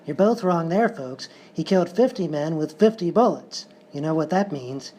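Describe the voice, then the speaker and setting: A man playing a short, cocky, deadpan cowboy outlaw in a live radio-style comedy sketch. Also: a little high, moderate pace, slight background noise, natural voice. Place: live performance in a hotel venue.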